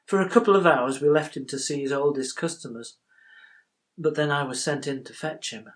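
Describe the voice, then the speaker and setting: A male actor as a loyal Victorian bank clerk in a period stage monologue. He tells his tale with measured gravity and tender feeling, in a dramatic storyteller's delivery. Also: fairly high pitch, lightly acted. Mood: sorrowful, tender.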